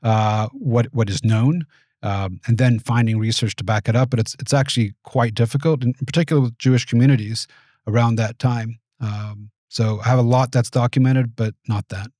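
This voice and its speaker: A man in his forties, raised in the United States, giving thoughtful, measured interview answers in a small studio. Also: mid-range voice, steady tone, a little mellow, no room sound. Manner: measured, thoughtful, with frequent restarts. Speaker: guest, venture capitalist. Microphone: studio mic on boom arm.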